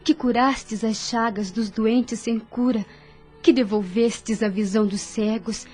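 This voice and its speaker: Woman as mellow, devout young female carer. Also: fairly high pitch, fairly even intonation, measured pace. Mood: prayerful. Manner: gentle, earnest, consoling. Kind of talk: audio drama acting. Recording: soft music behind voice.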